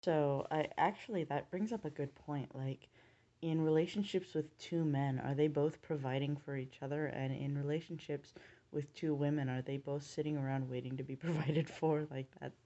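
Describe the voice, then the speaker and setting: A woman in her late twenties with a notably low, deep-set voice and a dark, mellow tone. She talks through her opinions in a casual vlog, earnest and measured, often pausing mid-thought before pressing on. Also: steady tone, muffled recording. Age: late 20s. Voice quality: low-pitched voice. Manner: earnest, measured, thinking aloud with pauses. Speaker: vlogger.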